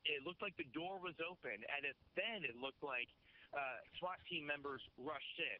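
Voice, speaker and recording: A male field reporter giving a live news report by phone, hurried, with fillers and restarts. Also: on the high side. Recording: muffled, noisy phone line.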